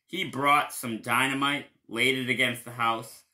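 Bright-voiced man reading a storybook. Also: medium pitch, fairly even intonation, unhurried. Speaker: storybook reader. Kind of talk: animated children's read-aloud.

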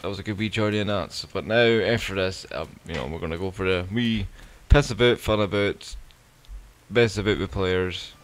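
A man's voice: deep voice, normal speed. A man with a Scottish accent giving casual, sweary, rambling commentary as he plays a video game. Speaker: gaming video creator.

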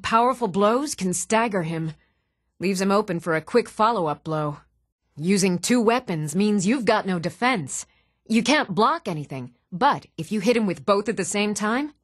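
An actress playing an even-toned townswoman in a fantasy video game, speaking scripted lines in a measured, matter-of-fact way. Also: medium pitch, no room sound, studio quality, close to an everyday voice.

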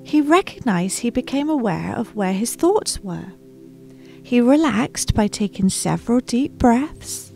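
A woman in her thirties with a fairly high voice reads a children's picture book as a storytime host. Her narration is expressive and recorded close to the mic. Music plays behind her. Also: a little slow, creaky. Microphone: close microphone on a boom arm.

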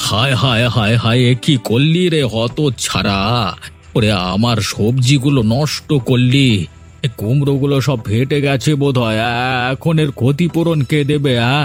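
A man voicing a bossy, quick-tempered rich warehouse owner in a cartoon story, berating his workers in exclamations. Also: mid-range voice, soft background music, natural voice. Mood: angry. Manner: berating, hectoring, full of exclamations.

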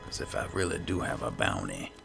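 A man with an extremely deep, heavily creaky voice talks through a roleplay game. His pitch moves around freely, and he speaks in a low-key, muttering way with music playing behind him. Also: big pitch swings, fairly quick. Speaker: gamer playing in character. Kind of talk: casual roleplay gameplay narration.

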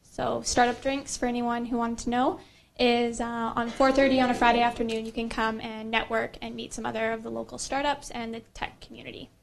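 A woman's voice: a little high, level tone, fairly quick, vocal fry. A woman speaking for the community, making an informal announcement. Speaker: community member. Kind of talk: announcement to an audience.

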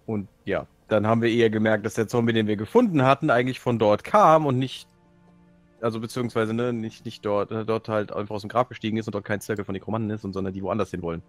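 Slightly low-voiced man chatting casually. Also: fast, dry recording, soft background music. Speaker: player in a tabletop role-playing game. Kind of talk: casual chat and session recap.

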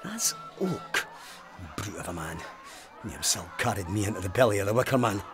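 Grim scottish cop sergeant, bossy and formal, very up and down, music under it, radio play. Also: male voice, on the low side, huge pitch swings, moderate pace. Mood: wary. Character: stern, haunted police sergeant, grimly suspicious and commanding. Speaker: actor in audio drama. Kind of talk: full-cast audio drama acting.

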